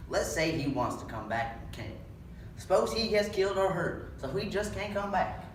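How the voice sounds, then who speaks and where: A man's voice: high-pitched, animated, normal speed, voice pushed up. Young man playing a bitter stable hand, echoey. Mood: taunting. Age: young adult. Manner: rural American dialect, read aloud with needling persistence. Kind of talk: readers' theatre script reading. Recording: echoey room, distant mic, background noise.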